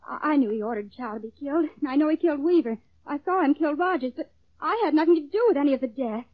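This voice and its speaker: An actress playing a defiant, cornered young female accomplice to murder, sharp and defensive, in 1940s radio drama. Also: sits high, fast, natural voice. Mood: rattled, pleading. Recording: tinny, phone-like old radio recording.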